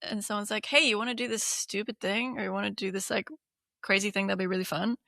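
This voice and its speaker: Gravelly bright-voiced woman chatting. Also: mid-range voice, little pitch movement, fast talker, no room sound, clean studio sound. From Hong Kong. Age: 30s. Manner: chatty, many fillers, laughs often. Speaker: podcast co-host. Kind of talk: casual chat.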